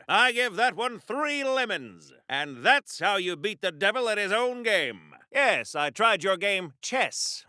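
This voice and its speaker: A pompous 1940s superhero for a video game, all earnest patriotism and old radio-serial bombast. His voice is high and bright, swooping theatrically through every declaration in crisp, old-fashioned hero diction. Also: dry recording, clean studio sound, full character voice. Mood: bold, triumphant, then chatty, condescending.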